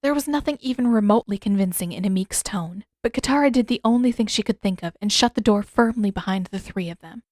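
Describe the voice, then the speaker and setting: A woman's voice: medium pitch, quick pace, hardly any pauses, a little mellow, no echo. A woman reading fiction aloud as a scripted narrator, close to the mic.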